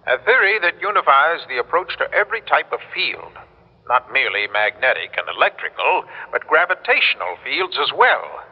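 An earnest, insistent male official in a classic radio play. He explains things formally and urgently, with an animated melody. The recording is dull, with a long hall reverb around him. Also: a little high, moderate pace, husky, exaggerated voice. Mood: earnest, explaining. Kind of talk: classic radio drama acting.

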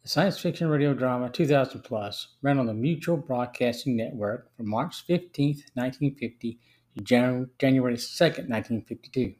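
Casting: male podcast host, medium pitch, scripted review read. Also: moderate pace, a little mellow.

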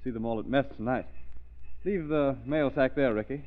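An actor playing a polite, genial young British engineer with clipped chummy speech in an old radio drama. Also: male voice, mid-range voice, moderate pace, natural voice. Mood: chatty. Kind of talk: 1940s radio acting. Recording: narrow, tinny old radio recording.

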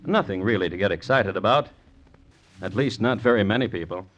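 A man hosting and narrating a 1950s radio crime show in a wry, deliberate, literary scripted style. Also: lowish voice, animated, fairly bright tone, muffled recording. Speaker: host and narrator. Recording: old radio recording with music.

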